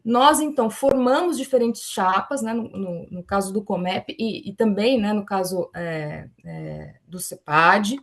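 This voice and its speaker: A woman's voice: mid-range voice, measured pace, gravelly, few pauses. Brazilian woman presenting, steadily explanatory. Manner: explanatory, frequently seeking agreement with tags. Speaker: forum panelist. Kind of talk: online academic presentation.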